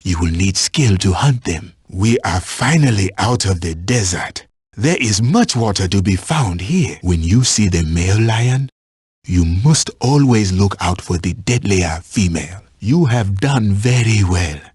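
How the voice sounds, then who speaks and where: A watchful safari tracker guiding a hunt in a video game. His voice is very husky and fairly low, recorded dry and close. He instructs in formal, measured wording with an animated rise and fall. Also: dry recording, full character voice. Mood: calm, instructive. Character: male African safari tracker, watchful, instructive hunting guide. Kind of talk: scripted video game voice lines.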